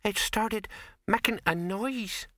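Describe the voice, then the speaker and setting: A man voicing a tiny, nervous young maid who speaks haltingly and deferentially in a storybook reading. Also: high-pitched, pushed and bright, dry recording, studio quality, voice pushed up, exaggerated voice.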